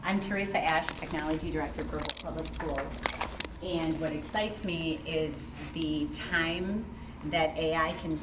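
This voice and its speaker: Woman, low register, informal group introduction, noisy reverberant room. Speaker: school technology director. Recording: echoey room, distant mic, steady noise.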